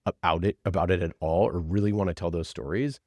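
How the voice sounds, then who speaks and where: A man's voice: low-pitched, fairly even intonation, fast talker, a little mellow, no room sound. A man speaking casually as an interview guest, making his points with emphatic, passionate conviction and rhetorical questions. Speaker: podcast producer and guest. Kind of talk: casual conversational interview talk.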